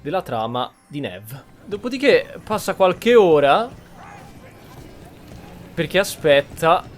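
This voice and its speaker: Italian guy streaming a game, casual chatty commentary, higher voice, music quietly behind. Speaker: gaming streamer.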